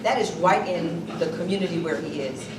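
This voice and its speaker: A woman giving a loud, projecting speech about an honoree at a community reception, at times off script. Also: lowish voice, a little fast. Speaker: speaker presenting an honoree. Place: hall at a museum reception. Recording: echoey hall recorded from far off with noise.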